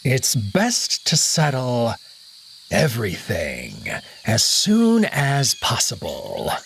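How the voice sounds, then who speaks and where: Menacing old wolf villain, scarred and scheming, played by a man, very slow delivery, swinging pitch. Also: wildly swooping pitch, gravelly, fairly bright tone, a little hiss or hum, exaggerated voice. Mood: coldly scheming. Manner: slow, drawn-out threats.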